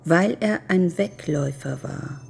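Low, dark-voiced calm captor. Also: female voice, a little slow, vocal fry, slightly rough, exaggerated voice. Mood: calm, evasive. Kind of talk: German radio drama acting.

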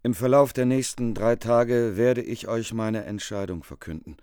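An actor in a radio drama playing a calm king who weighs a decision deliberately. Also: medium pitch, smooth, no echo, studio quality, lightly acted.

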